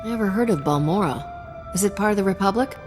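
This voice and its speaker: An actress playing a cocky smuggler captain in a video game, terse, dry and unflappable. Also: female voice, medium pitch, expressive, fast, lightly acted. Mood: cool, dry. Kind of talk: video game cutscene acting. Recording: long hall reverb, faint music behind.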